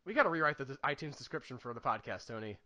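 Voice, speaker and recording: A man's voice: medium pitch, animated, very fast, sharp tone, dry recording. A man in his late twenties chatting casually as a podcast co-host, with a joking, self-deprecating manner. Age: late 20s.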